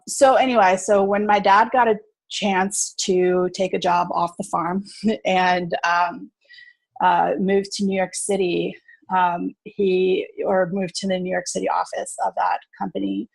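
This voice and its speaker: A woman's voice: fairly low pitch, creaky, husky, dry recording, poor audio. A woman in her thirties, originally from South Africa but with an American accent, casually telling her story as a podcast guest, full of fillers and easy laughter. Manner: chatty, lots of fillers, laughs easily.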